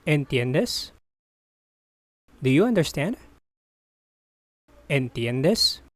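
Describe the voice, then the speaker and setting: Very slow phrasebook drill voice. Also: mid-range voice, big pitch swings, no echo. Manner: says each phrase, gives its translation, then repeats it slowly in parts. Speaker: language teacher.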